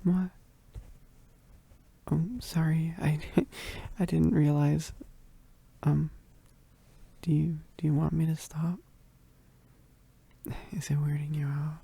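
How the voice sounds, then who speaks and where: Shy, needy werewolf roommate for roleplay ASMR, played by a performer: very dark, soft voice with creaky edges, halting and affectionate. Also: mid-range voice, flat delivery, full character voice. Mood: embarrassed, tender. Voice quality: soft, breathy, husky. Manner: bashful, halting, giggly, often dropping to whispers. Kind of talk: roleplay ASMR, intimate one-sided dialogue.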